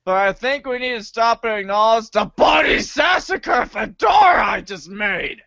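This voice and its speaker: A man plays an excitable, defensive teenage boy in an amateur audio play. The voice is high and bright and swoops all over, turning from hesitant to indignant. The sound is a bit dull and lo-fi. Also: normal speed, dry recording, exaggerated voice. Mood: exasperated. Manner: exclamatory, swinging from hesitant to indignant. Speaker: voice actor. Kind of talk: amateur reader's theater acting.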